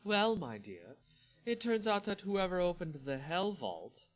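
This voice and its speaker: A man acts out a noble vampire hostess from a fantasy story, high and very animated. She is gushing and conspiratorial, with imperious, gleeful relish in every phrase. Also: a little slow, faint music behind, rough recording.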